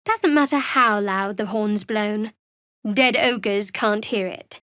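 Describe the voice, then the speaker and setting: A woman's voice: medium pitch, slow pace, fairly bright tone, no room sound. A woman playing a guarded, sardonic half-elf cleric in a fantasy video game, with a dry delivery.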